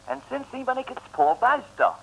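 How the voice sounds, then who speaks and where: A man's voice: very high-pitched, very animated, moderate pace, creaky, highs cut off, full character voice. An actor playing a courteous, exclaiming Englishman with an English accent in a 1960s radio serial. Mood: confiding. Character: excitable polite Englishman, an investigator hunting diamonds. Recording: old noisy radio recording, faint hiss.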